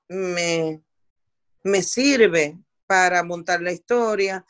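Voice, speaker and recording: A middle-aged Puerto Rican woman talking conversationally in an interview, repeating words for emphasis and dropping English words into her Spanish. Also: lowish voice. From Puerto Rico. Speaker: interviewee, veteran television journalist.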